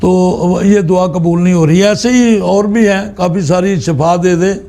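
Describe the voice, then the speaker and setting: A man giving an informal, unscripted religious talk in a halting, deliberate way, often pausing mid-sentence. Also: sits high, gravelly, dark tone. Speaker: Islamic spiritual speaker.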